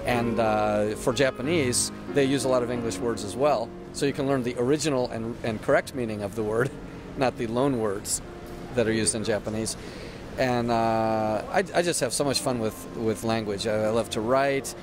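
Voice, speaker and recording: A man with a mid-range voice explains things with enthusiasm and laughs easily. Clear music plays behind the conversation. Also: medium pitch, steady tone, moderate pace. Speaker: conversation partner. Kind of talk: casual conversation.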